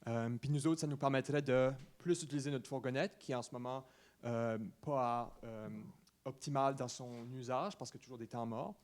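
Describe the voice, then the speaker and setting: A man in his twenties with an Acadian New Brunswick French accent, giving an informal presentation at a meeting, often pausing mid-phrase. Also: medium pitch, steady tone, darkish tone. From Saint-Louis-de-Kent, New Brunswick, Canada. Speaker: student presenter to a municipal council.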